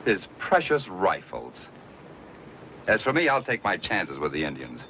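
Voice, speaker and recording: An actor playing a gruff, stubborn frontier trail man in a western radio drama, with a rustic American dialect and emphatic delivery. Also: deep voice, wildly swooping pitch, unhurried, pushed and bright, slight background noise, heavily acted. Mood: scornful. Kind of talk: old-time western radio drama acting. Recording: dull old radio recording with music.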